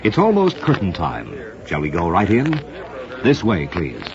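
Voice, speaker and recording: A man's voice: deep voice, wildly swooping pitch, a little slow, very noisy. An American man announcing as a radio program host in a formal, polished, theatrical old-time style, reading from a script. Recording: dull old radio transcription, music behind. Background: music.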